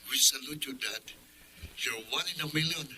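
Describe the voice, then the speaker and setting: A man's voice: medium pitch, a little slow, background noise, natural voice. A young man playing a grateful, proud student son in an emotional radio drama, speaking earnestly. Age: young adult.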